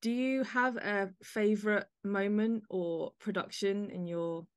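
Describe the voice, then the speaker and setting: A woman's voice: medium pitch, fairly even intonation, measured pace, clear, resonant tone. A woman hosting a podcast, introducing and interviewing in a conversational style.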